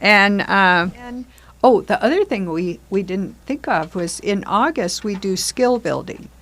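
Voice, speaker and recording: A woman's voice: mid-range voice, animated, unhurried, a bit bright. An older woman, a community theater member, talking casually in an interview in loose, chatty explanations. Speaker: member and performer in a seniors' readers theater group.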